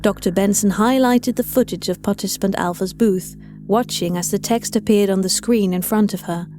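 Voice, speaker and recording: A woman's voice: medium pitch, normal speed, dark tone. A woman reading scripted audio fiction as a story narrator, with music behind her.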